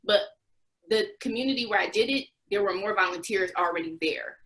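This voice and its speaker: A woman's voice: medium pitch, a little fast, fairly bright tone, rough recording. A young adult woman answering interview questions, recounting experiences as a former volunteer with restarts and occasional laughs.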